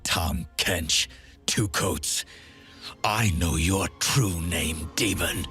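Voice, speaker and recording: A man voicing a grim, solemn undead swordsman from a video game, speaking in a measured, dramatic way over music. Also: deep voice, pushed and bright, full character voice. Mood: grim menace. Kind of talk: video game character voice acting.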